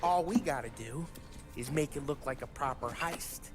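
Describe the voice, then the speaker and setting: A brash, wisecracking gangster, voiced by a man in a crime game. His voice sits in a middle register, and he tells his tales with swagger and a scheming edge. Music plays clearly behind him. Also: medium pitch, normal speed, lightly acted. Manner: boastful storyteller, wisecracking. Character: brash talkative male gangster. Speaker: mob crew member. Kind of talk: 1930s gangster game dialogue.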